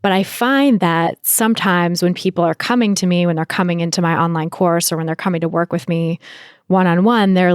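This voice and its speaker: Woman podcast host, smooth clear voice, dry close sound, chatty and thinking out loud. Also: on the low side, no room sound. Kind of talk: conversational interview talk.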